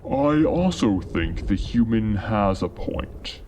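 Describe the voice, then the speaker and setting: A man's voice: fairly low pitch, big pitch swings, slow, darkish tone, slight background noise. A man voicing a huge, commanding hill in an audiobook story, with a grinding, cavernous, ponderous voice. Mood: stern, commanding. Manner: ponderous, authoritative.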